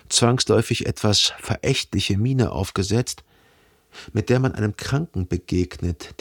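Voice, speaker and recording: A lovelorn narrator in a literary radio play, lowish husky voice, thoughtful and measured. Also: male voice, heavily acted. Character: reflective, lovelorn literary narrator recalling his own past.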